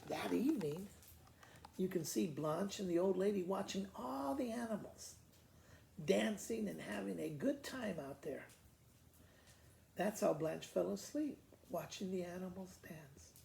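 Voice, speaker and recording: A man's voice: high-pitched, a little slow, vocal fry, darkish tone. A man telling a children's story in a simple, conversational way, informally explaining it as he goes. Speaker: school principal reading to pupils. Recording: roomy echo, faint background noise.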